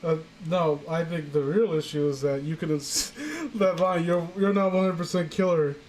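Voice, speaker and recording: A man's voice: fairly high pitch, steady tone, moderate pace, darkish tone, slight background noise, low-quality recording. A man hosting a comedic reading show, chatting casually with sarcastic, crude banter. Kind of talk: casual joking group commentary and read-aloud.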